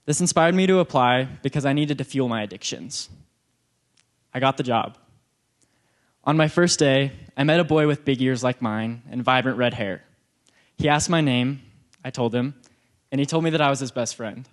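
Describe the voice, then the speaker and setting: A teenage boy reads out a prepared speech at a youth awards event. His pitch sits in the middle for a man, and he keeps his delivery earnest and measured. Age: teens. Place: event hall.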